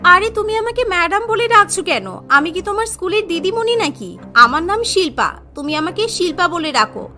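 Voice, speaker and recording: A very high, bright voice for a guileless young village woman in a story, acted out by a female narrator. She exclaims plaintively and frightens easily. Music plays behind her. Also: lively intonation, brisk, heavily acted. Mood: guileless, indignant.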